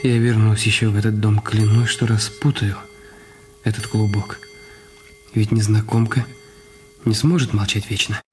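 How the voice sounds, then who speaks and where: An actor playing a brooding, secretive husband in a radio detective play, speaking deliberately in short phrases. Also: male voice, on the low side, little pitch movement, darkish tone, lightly acted.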